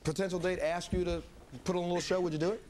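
A man hosting a studio talk show, quickly passing a handheld mic around and joking with guests and the audience. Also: high voice, flat delivery, darkish tone, slight background noise. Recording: studio audience murmur behind.

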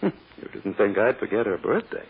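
An unctuous, self-satisfied American gentleman in a vintage radio play. His low, creaky voice swoops lavishly through genteel, insinuating phrases. The old recording sounds muffled. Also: big pitch swings, normal speed. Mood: smug, insinuating. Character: smug older man, oily, insinuating, self-satisfied suitor. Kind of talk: old-time radio drama acting.

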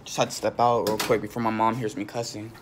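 A man's voice: fairly low pitch, flat delivery, quick pace. A young man chatting casually in a slangy, mock-indignant way, as in a parody video call. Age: young adult. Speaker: comedy video creator. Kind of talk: parody FaceTime chat, casual. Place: indoors at home. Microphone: phone at arm's length. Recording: noisy phone recording with room ambience.

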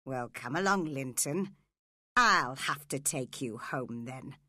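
A woman's voice: a bass voice, animated, slow, pushed and bright, no echo, studio quality, pitched below the performer's own voice, exaggerated voice. A woman voicing a cold, scheming, cruel father in a dramatised audiobook. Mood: commanding.

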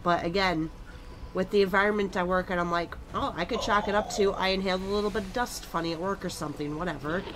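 A woman's voice: lowish voice, fairly even intonation, fast talker, background noise. A woman streaming a game, chatting casually and rambling about having a cold, on a headset at home. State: has a cold, coughing. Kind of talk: casual gaming chat.

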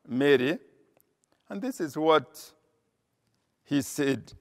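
An older man preaching a sermon from a church pulpit, slow and measured, pausing with frequent "uh" as he cites scripture. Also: fairly high pitch, expressive. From Kenya. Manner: slow, measured delivery with frequent uh pauses, reading scripture aloud. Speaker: pastor. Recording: long reverb of a church hall.

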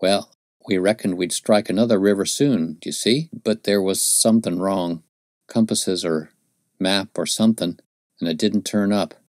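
A man voicing a gaunt, dying frontiersman who speaks gently in rustic dialect, with a low voice. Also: a little slow, dry recording, studio quality. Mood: gentle.

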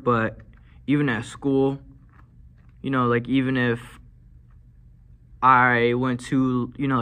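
A young man who stutters, with blocked and repeated sounds and lots of fillers, speaks slowly and softly in a casual vlog. His voice is bright, and he holds a small mic close in an indoor classroom. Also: medium pitch. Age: young adult. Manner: soft-spoken, frequent fillers. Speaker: vlogger. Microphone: handheld lavalier mic held near mouth.

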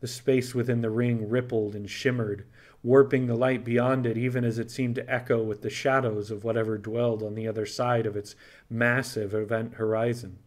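A man narrates fiction in audiobook style. His pitch is average for a man. He reads scripted prose as a storyteller. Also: little pitch movement, normal speed, a little mellow.

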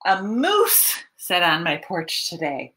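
A woman in her thirties hosting storytime, reading a picture book to young children in an expressive, teacherly way. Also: lowish voice. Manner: expressive, deliberate teacherly delivery. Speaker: children's library storytime host. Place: small home room, indoors.

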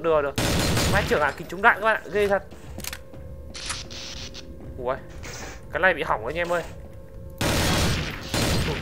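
An excitable gaming video host giving exclamation-filled gameplay commentary. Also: mid-range voice. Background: music.

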